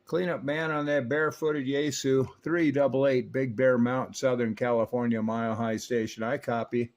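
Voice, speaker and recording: An older man reviewing a vintage CB radio in a relaxed, knowledgeable, folksy manner, also chatting on air as a radio operator. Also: medium pitch, moderate pace, a little hiss or hum. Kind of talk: technical review narration and on-air radio chat. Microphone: stock desk microphone on the radio.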